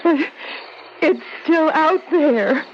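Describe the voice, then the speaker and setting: A woman's voice: high voice, very slow. A woman playing a frightened convict's wife with an American accent in a radio drama. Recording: lo-fi old radio drama, faint noise.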